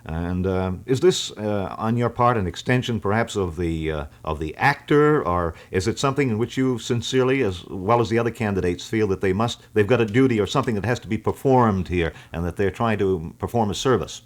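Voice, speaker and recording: A male radio host of a call-in show, asking questions haltingly with many fillers. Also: lowish voice. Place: radio studio.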